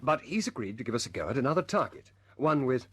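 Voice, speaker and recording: An actor playing an earnest, good-humoured RAF squadron leader in a vintage radio drama. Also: male voice, medium pitch, very animated, quick pace, fairly bright tone, slightly rough. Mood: confiding, wry. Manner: hesitant stammers when pressing a point, otherwise commanding.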